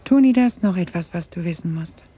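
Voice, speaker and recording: An actress playing a haunted middle-aged woman in a German radio drama, confiding grave family guilt in a halting, husky voice. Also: lowish voice, expressive, dark tone. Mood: haunted, confessing. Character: haunted Dutch woman confessing her family's wartime guilt. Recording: slightly dull 1980s radio recording.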